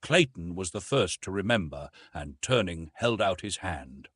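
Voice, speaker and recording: Male audiobook narrator, mid-pitched, dramatic storytelling with wide swings, close dry recording. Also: animated, unhurried, fairly bright tone, husky, dry recording. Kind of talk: dramatic scripted narration of an adventure novel.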